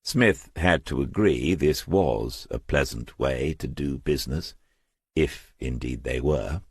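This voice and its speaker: A man's voice: rumbling low, takes it slowly, breathy edge, no echo. A man reading crime fiction as a scripted audiobook narrator, close to the microphone.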